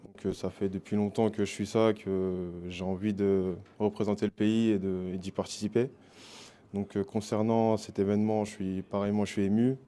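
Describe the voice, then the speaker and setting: Man, 20s, from the Paris region, mellow tone, monotone, hesitant self-introduction. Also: on the low side, normal speed. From Paris region, France. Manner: hesitant, many fillers. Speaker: basketball player.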